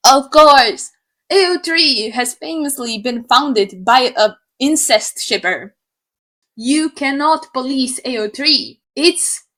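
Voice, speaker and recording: Young woman from Slovakia, English, high voice, sarcastic, animated, swears casually. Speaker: YouTuber. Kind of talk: opinion rant to camera.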